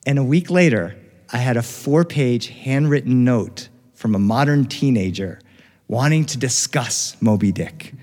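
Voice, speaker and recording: A middle-aged American man telling a first-person story live in an expressive, animated way with dramatic pauses. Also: mid-range voice. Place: reverberant studio, recorded live. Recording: live studio recording with long reverb.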